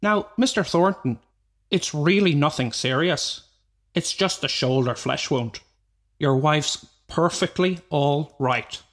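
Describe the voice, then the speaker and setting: An actor in a radio play as a brisk, placating man who soothes and dismisses fears. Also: fairly high pitch, a bit bright. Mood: reassuring. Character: reassuring, dismissive man calming a frightened woman.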